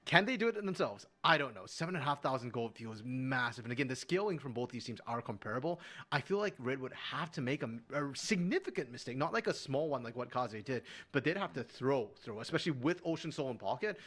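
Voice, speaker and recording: A man doing esports colour commentary, analytical and thinking out loud about strategy. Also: medium pitch, a little fast. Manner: analytical, lively intonation. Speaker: colour caster.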